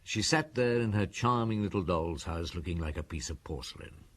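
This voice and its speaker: An actor playing a shrewd, easygoing middle-aged police inspector who questions people in a calm, probing, dryly amused way and narrates a radio drama. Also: male voice, low-pitched, moderate pace. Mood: narrating. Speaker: Inspector Maigret.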